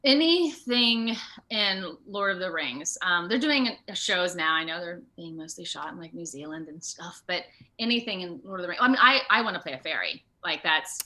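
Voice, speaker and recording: An American woman talking casually in an interview over a video call, with an animated, rambling, self-deprecating manner. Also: lowish voice, moderate pace. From Ohio, United States. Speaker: actor being interviewed.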